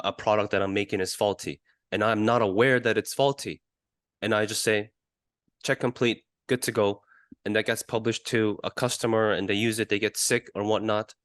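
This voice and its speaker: A man co-hosting a sports podcast in casual discussion, arguing his points haltingly and often repeating words. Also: on the low side, fairly bright tone, dry recording, clean studio sound. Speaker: podcast co-host.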